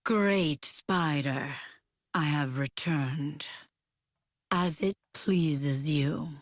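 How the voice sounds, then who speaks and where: A slow, eerie dark priestess. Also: female voice, deep voice, dry recording, studio quality, full character voice. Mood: reverent. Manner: hushed, drawn-out, reverent. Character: eerie, devoted priestess of a spider god. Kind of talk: video game character voice lines.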